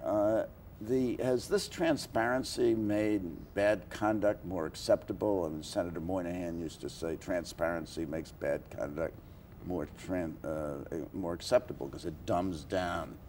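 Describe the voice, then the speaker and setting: A man with a deep, creaky voice hosts a sit-down interview. He feels his way through questions haltingly, over faint background noise. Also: fairly even intonation, darkish tone. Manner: halting, searching phrasing. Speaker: interview host.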